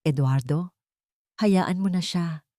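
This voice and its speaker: Narrator as scheming lady doctor, soft and mellow. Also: on the low side, no room sound, studio quality. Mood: feigned patience. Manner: measured, falsely caring. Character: scheming woman doctor feigning gentle concern.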